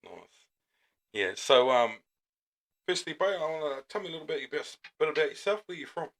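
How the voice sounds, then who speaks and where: A New man hosting a casual podcast interview in a small studio, talking in a relaxed, laid-back way with plenty of fillers. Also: on the high side, a little fast. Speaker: podcast host.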